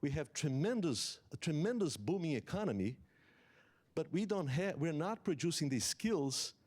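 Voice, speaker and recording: Man, English, conference keynote, explanatory, halting restarts. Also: fairly high pitch, a little mellow. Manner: earnest, explanatory, with many fillers and restarts. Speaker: economist giving a summit talk.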